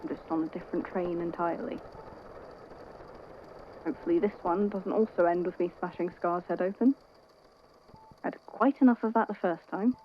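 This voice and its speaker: A caring, tired friend acted by a woman speaks in a lowish voice with hesitant, wry, conversational delivery. It is naturalistic audio drama, recorded a little muffled. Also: moderate pace, long pauses, slight background noise, natural voice. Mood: wistful, confessional. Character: worried, weary, caring friend at a hospital bedside.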